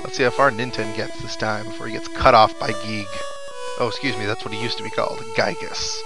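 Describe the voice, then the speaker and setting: A man's voice: lowish voice, fairly even intonation, brisk. A man giving casual, chatty let's-play commentary on a video game, with wry asides. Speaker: let's player. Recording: game music behind the voice. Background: video game music.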